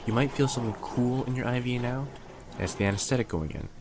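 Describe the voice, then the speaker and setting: A man playing a calm, reassuring surgical team medic in an audio roleplay. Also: medium pitch, fast talker, background noise, natural voice. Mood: calm, soothing. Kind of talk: ASMR comfort roleplay.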